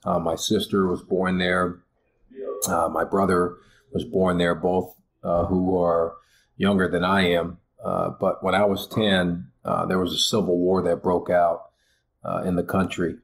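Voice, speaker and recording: A man's voice: sits low, level tone, unhurried, vocal fry, slight background noise. A man in his forties, a college basketball head coach from Liberia, speaking earnestly in a podcast interview with many "you know" and "uh" fillers. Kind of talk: podcast interview, reflective storytelling.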